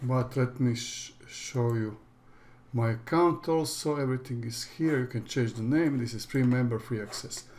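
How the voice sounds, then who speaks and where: A man from Croatia with a Croatian accent, a native Croatian speaker, talking through a screen-share tutorial as an online course instructor in a halting, plain way with frequent restarts. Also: medium pitch, a little mellow.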